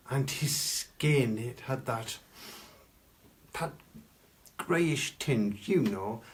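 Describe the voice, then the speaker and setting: Man playing a slow, pompous Victorian curiosity collector. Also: mid-range voice, close to an everyday voice. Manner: grandiloquent, archaic phrasing, dramatic pauses and stresses. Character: pompous Victorian gentleman collector of macabre curiosities, grandly patriotic. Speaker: costumed storyteller. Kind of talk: theatrical live storytelling.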